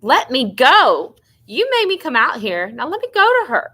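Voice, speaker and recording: A woman's voice: high-pitched, huge pitch swings, moderate pace, bright, forceful tone, pitched above the performer's own voice, heavily acted. A woman voicing a frightened, defiant young girl in a storybook, protesting emotionally. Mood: desperate pleading.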